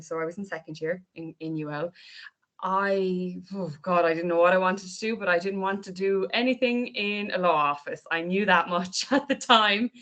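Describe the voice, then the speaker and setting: A woman's voice: fairly low pitch, bright, forceful tone. A woman in her twenties with an Irish accent gives an informal, conversational presentation, rambling a little as a guest speaker. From Ireland. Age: 20s. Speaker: guest speaker at a careers seminar. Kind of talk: informal presentation of own career. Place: online video call.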